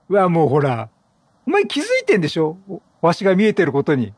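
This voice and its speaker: Man, high voice, hugely animated, chatty reactive game commentary. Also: normal speed, slightly rough, dry recording, studio quality. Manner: chatty, reacts aloud and banters with the game characters. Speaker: gaming streamer, let's play host.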